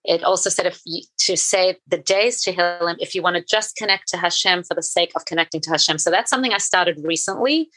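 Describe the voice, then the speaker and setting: A middle-aged woman co-hosting a podcast, speaking in an earnest, enthusiastic way. Her voice sits a little low but has a bright, forceful edge. It is recorded dry and close, with no room sound. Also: a little fast, hardly any pauses, no echo, poor audio. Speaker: podcast co-host. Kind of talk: casual conversation.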